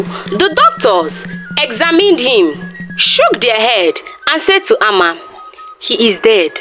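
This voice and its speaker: A female storyteller narrates a scripted folktale. Her voice is fairly high and extremely expressive, rising and falling dramatically, with music playing behind it.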